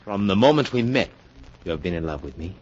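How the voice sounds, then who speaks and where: A man's voice: low-pitched, animated, a little fast, darkish tone, husky. An actor in a 1940s radio drama playing a smug, seductive womanizer, with a suave, mocking and theatrical delivery. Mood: seductive, insistent. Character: smug, seductive male scoundrel and heartless womanizer. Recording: muffled vintage 1940s radio recording.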